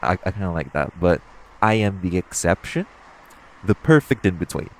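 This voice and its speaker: Man playing a low-voiced flirty supervillain thief. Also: sing-song, low-quality recording. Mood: amused, flirty. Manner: casual, teasing, halting with many hedging pauses. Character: flirty, teasing, self-assured male supervillain thief. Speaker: audio roleplay performer. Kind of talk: intimate second-person audio roleplay, conversational acting.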